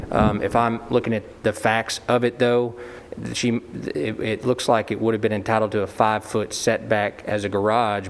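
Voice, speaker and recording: A man serving as the board's attorney, speaking carefully and hesitantly at a public meeting. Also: lowish voice. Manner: hesitant, careful, self-correcting. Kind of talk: legal advice at a public meeting. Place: council meeting chamber. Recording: reverberant hall with faint noise.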